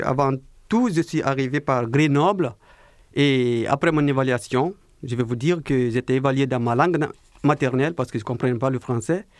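A young man in his twenties from Guinea answers a radio interviewer. He speaks slowly and carefully, pausing to find his words. His voice sits at a middle pitch, and the recording is dry and close. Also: no echo. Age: 20s. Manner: slow, careful speech with hesitations. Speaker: interview guest, apprentice baker.

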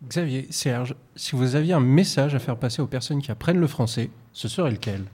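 A man radio presenter with a husky, dark-toned voice. He asks questions and introduces segments in a steady, measured announcing manner. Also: mid-range voice.

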